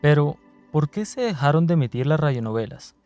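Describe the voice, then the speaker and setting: A man's voice: on the high side, animated, a little fast, a little mellow, soft background music. A man with a Colombian accent reading scripted documentary narration.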